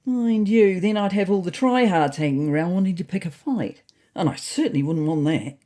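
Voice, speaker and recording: A woman's voice: on the low side, moderate pace, dark tone, lightly acted. A woman voicing a fussy, neurotic male ginger cat who narrates a comic storybook diary in a prim, indignant, self-important way.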